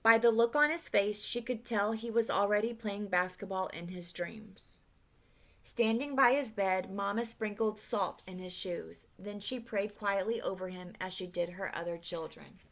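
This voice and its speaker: A woman reading a children's picture book aloud with expression, pausing to ask her listeners questions. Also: mid-range voice. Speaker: read-aloud storyteller.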